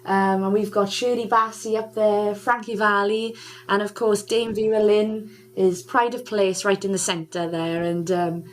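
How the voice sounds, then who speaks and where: A woman's voice: mid-range voice, steady tone, unhurried, low-quality recording. Young woman chatting on radio, laughs a lot, says um a lot, faint hum behind. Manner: chatty, warm-hearted, laughs often, many "um"s. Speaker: guest singer. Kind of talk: casual radio interview.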